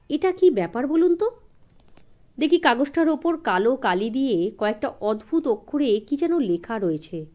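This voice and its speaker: A woman narrating a suspense story from a script in an expressive way, as an audiobook reader. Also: fairly high pitch.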